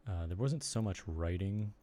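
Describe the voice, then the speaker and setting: A young man with a Chicago accent, chatting casually and haltingly to viewers on a live stream from his computer desk. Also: low voice, lively intonation, dark tone. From Chicago area, United States. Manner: rambling, halting, lots of ums and restarts. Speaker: streamer and blogger.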